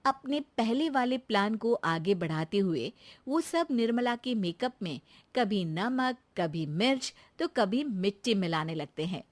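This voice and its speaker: Higher-pitched woman narrating a story. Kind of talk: scripted narration of a moral family tale.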